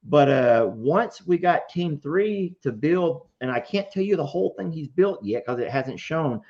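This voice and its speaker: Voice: mid-range voice, animated, measured pace, darkish tone. A folksy, informal host chatting casually with callers on a live show. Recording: dull, lo-fi sound.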